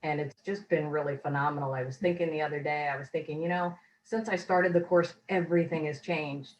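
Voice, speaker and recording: Earnest, lower-voiced woman, slightly hoarse. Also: fairly even intonation, brisk, darkish tone, a little hiss or hum, rough recording. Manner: earnest, rambling, reflective. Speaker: course graduate, interviewee. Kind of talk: casual testimonial interview.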